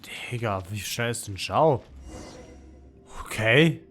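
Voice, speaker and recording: A man's voice: mid-range voice, lively intonation, slow, fairly bright tone, slight background noise. Offhand man streaming a game. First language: German. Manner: slangy, offhand reactions. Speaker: live streamer. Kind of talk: casual gaming stream commentary.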